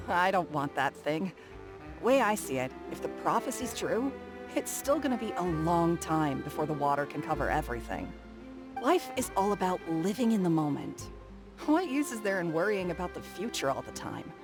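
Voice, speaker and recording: A woman's voice: fairly low pitch, sing-song, normal speed. A woman playing a laid-back, stubborn townswoman in a video game, blunt and casual. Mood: dismissive, carefree. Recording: music behind the voice.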